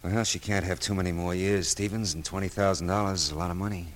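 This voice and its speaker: An American actor playing a wry, world-weary but soft-hearted private detective in a vintage radio drama, clipped and slangy. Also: male voice, low voice, steady tone, fast, close to an everyday voice. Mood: frank, sympathetic. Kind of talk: 1940s-50s American radio detective drama, first-person narration.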